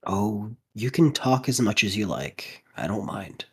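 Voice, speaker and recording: Middle-aged storybook farm man, played by a woman: deep, husky, bashful, folksy, gentle and hesitant. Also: expressive, measured pace, full character voice. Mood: shy, gentle. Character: shy, slow-spoken, kindly middle-aged farm man.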